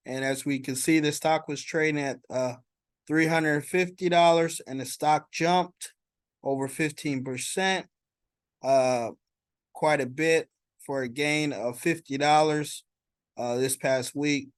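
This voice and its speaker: A man giving informal market commentary as a stock vlogger, explaining in a halting way and checking in as he goes. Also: fairly high pitch, clear, resonant tone, no echo, studio quality.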